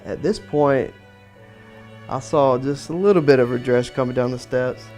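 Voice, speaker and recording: A man, the groom, looks back on his wedding day in a relaxed, chatty voice-over. He laughs a little as he remembers. Soft music plays underneath. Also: medium pitch, moderate pace. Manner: casual, laughing, reminiscing. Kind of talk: voice-over recollection.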